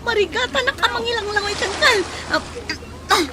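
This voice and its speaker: A woman's voice: very high voice, fairly quick. A woman with an Ilocano accent playing a frightened young woman stranded at sea after a ferry sinks, panting and calling out. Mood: panicked. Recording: loud crowd and noise behind.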